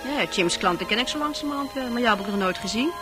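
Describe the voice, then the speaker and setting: Woman acting very animated, forward bar regular. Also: a little high, quick pace, close to an everyday voice. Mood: flirtatious. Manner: familiar, coaxing, expressive. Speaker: actor in a radio play. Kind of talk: Dutch radio drama dialogue. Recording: music playing behind.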